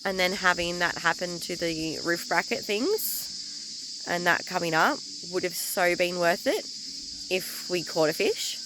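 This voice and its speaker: A woman's voice: on the low side, unhurried, background noise. A woman with an Australian accent chatting frankly to camera about her caravan trip, rambling a little and losing her voice from illness. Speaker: travel vlogger. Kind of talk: casual chat to camera.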